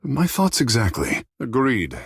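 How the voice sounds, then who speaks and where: An actor voicing a vain, flirtatious sea-folk water shaper in a video game, with theatrical, languid, florid delivery. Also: male voice, mid-range voice, huge pitch swings, unhurried, husky, no room sound, studio quality, full character voice. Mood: flirtatious, inviting. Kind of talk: video game character dialogue.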